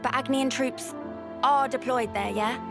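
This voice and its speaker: A woman's voice: sits high, fairly even intonation, measured pace, vocal fry, over music. A woman playing a cheeky young fighter in a video game, blunt and slangy. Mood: skeptical. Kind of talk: video game cutscene acting.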